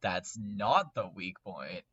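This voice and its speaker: Excitable podcast guy, bright forceful voice, emphatic, really dry sound thats a bit dull. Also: deep voice, husky, dry recording. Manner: animated, emphatic, quick to laugh. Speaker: podcast panelist. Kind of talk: casual, excitable fan chat.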